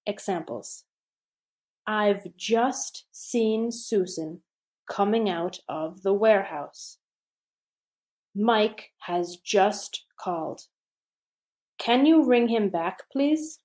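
Slow, mellow woman reading a lesson. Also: mid-range voice, lively intonation. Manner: slow, deliberate reading with pauses. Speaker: English teacher. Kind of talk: slow scripted grammar lesson.

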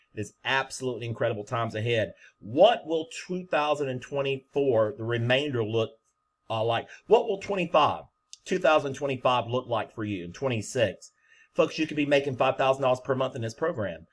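A middle-aged man giving an emphatic, repetitive promotional pitch to viewers as he presents a home business. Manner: emphatic, repetitive salesman delivery.

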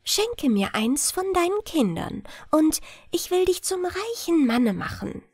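Woman voicing an airy, high-pitched, coaxing water nixie. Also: sing-song, unhurried, darkish tone, no echo, clean studio sound, heavily acted. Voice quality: light, clear, airy. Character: strange, coaxing female water nixie with green eyes.

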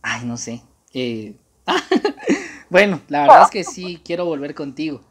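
A man, speaking haltingly and coyly on a casual prank phone call. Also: a little high, wildly swooping pitch, husky. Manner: hesitant, halting, coy. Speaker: prank caller and channel host. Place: small room indoors.

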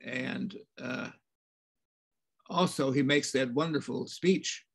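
An older man, an author, talking in a measured, halting way with many pauses on a video call from a home room with bookshelves. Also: medium pitch, creaky. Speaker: author interviewing the director. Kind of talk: thoughtful discussion. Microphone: video call webcam.

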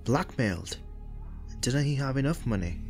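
A man's voice: mid-range voice, lively intonation, normal speed, darkish tone, natural voice. A man playing a polite, unsure young police detective in an audio drama. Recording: music behind the voice.

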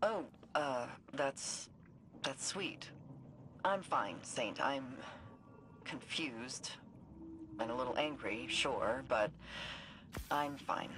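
A woman's voice: extremely low pitch, lively intonation, drawn-out words, long pauses, fairly bright tone, exaggerated voice. An actress playing a plainspoken woman soldier in a game cutscene, casual and a little hesitant. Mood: earnest. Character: candid woman soldier, steady and reassuring. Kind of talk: video game cutscene acting. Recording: game audio with noisy ambience.

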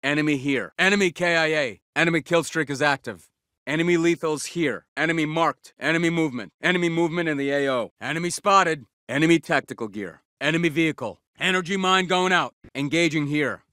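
Battle-ready soldier, video game callouts, clipped and commanding, sharp tense tone, dry studio sound. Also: male voice, on the high side, fairly quick, clean tone, no echo. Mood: brisk, focused. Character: tough, battle-ready male special forces soldier. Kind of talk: military shooter game callouts.

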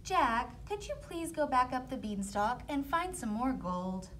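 A woman voicing an excitable, fretful mother in a children's fairy tale, with exaggerated expression. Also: high-pitched, unhurried, a little hiss or hum, pitched above the performer's own voice, full character voice. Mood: coaxing.

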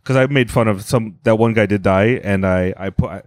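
A man co-hosting a comedy podcast in casual chat, crude deadpan joking that jumps high when he mocks others. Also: fairly quick, dry recording. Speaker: podcast co-host.

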